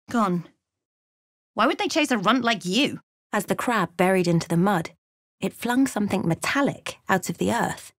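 A woman playing a wry, watchful adventurer in a video game, telling of events in a measured, dramatic way. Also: fairly low pitch, no room sound, clean studio sound. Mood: sharp, wry. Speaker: game character voice actor. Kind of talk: video game voice lines, narration and barks.

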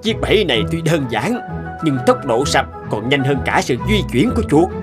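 Bác nông dân giọng khá cao. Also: male voice, on the high side, big pitch swings, moderate pace, music in the background. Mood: eager, absorbed. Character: earnest, obsessive middle-aged village farmer inventing rat traps. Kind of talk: cartoon dialogue.

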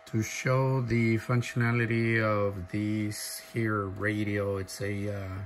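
A man casually showing off a radio at a desk, thinking aloud with lots of fillers and pauses. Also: lowish voice, slight background noise. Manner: slow, halting, many fillers, thinking aloud. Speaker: radio seller demonstrating a CB radio. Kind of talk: casual off-the-cuff demo. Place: indoor workshop at a desk.

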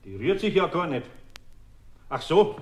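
A man's voice: on the high side, sing-song, measured pace. Grumbling Bavarian master craftsman, older. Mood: busy, muttering. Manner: grumbling, muttering to himself. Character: grumpy, exasperated older male master craftsman. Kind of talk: German children's audio play acting. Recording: echoey old audio play with hiss.

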